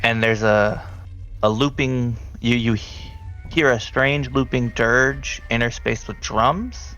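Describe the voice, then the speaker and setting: A man's voice: mid-range voice, takes it slowly, sharp tone, faint music behind. A man improvising narration as a tabletop game master, talking conversationally and directly to his players.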